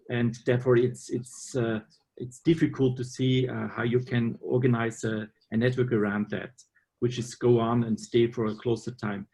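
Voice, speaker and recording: A man from Austria who heads a university teacher-training department, speaking in a video meeting in a measured, skeptical way with frequent restarts. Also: medium pitch, darkish tone, slightly rough.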